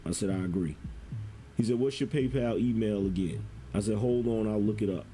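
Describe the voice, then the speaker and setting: A man's voice: on the low side, brisk, mellow, a little hiss or hum. A man casually recounting conversations in the first person, informal and slangy with some profanity. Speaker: internet commentator.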